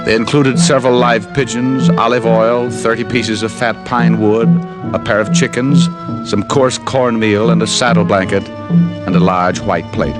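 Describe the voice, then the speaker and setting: Loud musical backing sits under a low-voiced hardboiled private eye played by a male actor. He narrates his case in old radio mystery style, wry and dry, tossing off wisecracks under pressure. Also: steady tone, normal speed, over loud music, lightly acted. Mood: awed narration. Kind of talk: 1950s radio drama narration.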